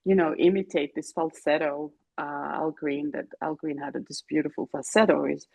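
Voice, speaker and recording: Woman from Sweden, low voice, gravelly, dry close sound, relaxed, chatty. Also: moderate pace, no room sound. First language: Greek and Finnish. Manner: relaxed, laughs often, many fillers and restarts. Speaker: guest singer-songwriter. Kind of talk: casual interview chat.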